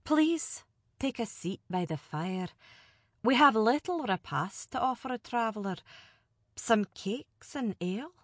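A woman's voice: on the high side, expressive, measured pace, fairly bright tone, dry recording, studio quality, pitched above the performer's own voice. Woman voicing a commanding noblewoman. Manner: formal, gracious. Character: commanding noblewoman, dignified and composed.

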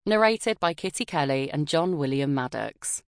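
A woman narrates a first-person novel in a measured, expressive reading voice. Her pitch sits low for a woman, and the recording is close and completely dry, as from a studio booth. The delivery is polished and steady. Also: smooth, no room sound. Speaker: audiobook narrator. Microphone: close studio mic.

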